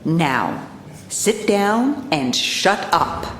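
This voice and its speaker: An actress voicing a stern, unimpressed police commissioner, commanding and sardonic, in an animated drama. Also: female voice, fairly low pitch, drawn-out words, exaggerated voice. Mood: cold, dismissive. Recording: echoey room with faint noise.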